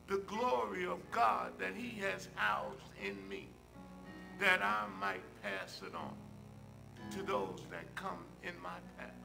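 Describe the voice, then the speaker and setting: An older man, a church minister, leads a public prayer from a pulpit in measured phrases with emphatic repetition. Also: high voice, creaky, sharp tone, rough recording. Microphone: pulpit microphone.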